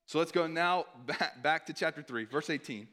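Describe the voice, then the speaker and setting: A hoarse man preaching a sermon in an earnest tone, pausing to read scripture aloud. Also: a little high, fairly bright tone. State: hoarse, clearing throat.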